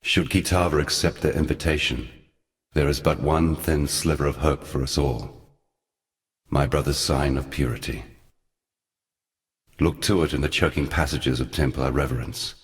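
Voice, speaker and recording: A man playing an ancient, forgotten god in a video game, somber and weary, speaking solemnly and deliberately in archaic, portentous phrases. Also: a bass voice, steady tone, big-room sound. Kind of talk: scripted video game character dialogue.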